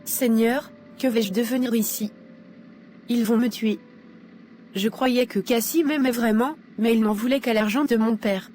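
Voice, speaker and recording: A woman playing a frightened young woman held captive in an animated drama, pleading desperately. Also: fairly high pitch, measured pace, slight background noise, natural voice.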